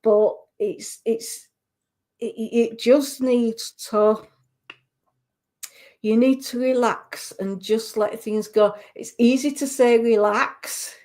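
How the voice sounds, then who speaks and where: A Yorkshire woman giving a psychic reading on a radio show, her voice sitting a little high and her pace unhurried. She talks gently and reassuringly, trailing off and restarting her sentences as she goes. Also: fairly even intonation.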